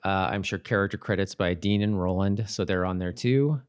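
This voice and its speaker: Smooth, lowish-voiced male podcast host. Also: brisk. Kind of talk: casual conversational episode commentary.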